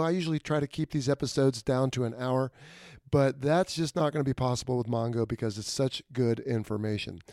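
A middle-aged man hosts a podcast in a relaxed, conversational manner. His voice sits at an ordinary pitch, and the recording is close and dry, with no room sound. He tends to trail off quietly at the ends of his sentences. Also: no echo. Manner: easygoing and conversational, often drops in volume at the ends of sentences. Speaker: podcast host. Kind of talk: casual podcast hosting.

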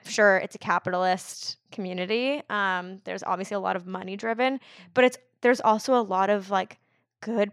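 Guest: woman in her twenties, casual and chatty, loads of "like", mid pitch, dry close mic. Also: a bit bright, dry recording. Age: 20s. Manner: chatty, fills speech with "like". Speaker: podcast guest. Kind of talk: casual conversational interview.

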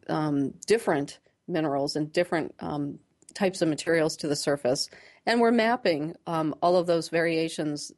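A woman speaking as a scientist guest in a radio interview, explaining things plainly and in a measured way, pausing to choose her words. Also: low voice, a little mellow.

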